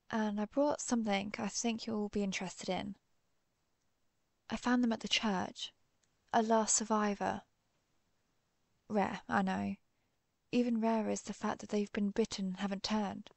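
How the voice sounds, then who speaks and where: Woman acting a gravelly, protective vampire huntress. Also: mid-range voice, dry recording. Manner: reassuring, measured, confiding. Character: protective, guilt-ridden female vampire who hunts vampires. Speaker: audio roleplay performer. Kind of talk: intimate scripted audio roleplay addressed to the listener.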